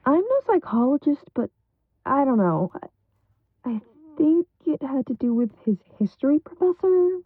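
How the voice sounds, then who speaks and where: A woman's voice: sits high, big pitch swings, normal speed, dry recording. An actress playing a guarded, resigned woman being questioned about her family in an audio drama. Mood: resigned, hesitant. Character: guarded, embittered female relative being questioned. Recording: narrow, phone-like band.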